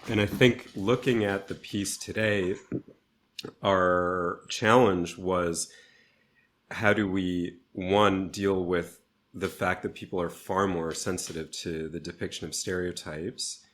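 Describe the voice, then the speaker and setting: A man with a low voice talks as a guest in an interview. He sounds reflective and chooses his phrasing deliberately as he explains his thinking. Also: fairly even intonation, a bit bright. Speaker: interview guest, theatre artist.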